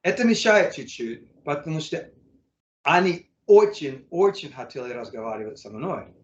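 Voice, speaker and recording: An American man, a native English speaker, speaking learner's Russian in a casual interview, halting with many fillers and self-corrections. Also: fairly high pitch, animated, echoey room, lo-fi sound. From United States. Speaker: interview guest, English teacher.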